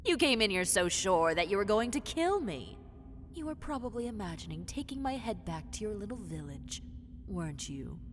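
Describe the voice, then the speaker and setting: A woman voicing a possessive, teasing lamia, a half-snake predator, in a roleplay audio drama, with condescending, seductive taunts that slip into breathy whispers. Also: medium pitch, very animated, moderate pace, close to an everyday voice. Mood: condescending, amused. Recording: long cave-like reverb, faint noise.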